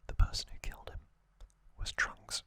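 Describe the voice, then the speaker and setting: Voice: normal speed, sharp tone, no echo. An ASMR host whispering a recap in a conversational, slightly hesitant way.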